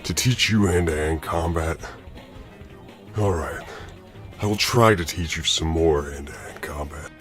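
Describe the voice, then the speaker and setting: A man voicing a gruff, patient superhero combat mentor in an amateur comic dub, stern and instructive. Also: extremely low pitch, measured pace, pauses often, a bit bright, faint music behind. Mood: dry.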